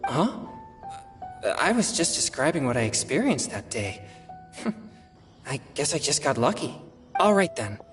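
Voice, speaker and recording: A woman's voice: rumbling low, sing-song, measured pace, long pauses, soft background music, exaggerated voice. A woman voicing an earnest, modest teenage boy in a video game, who tends to think aloud. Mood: earnest, thoughtful.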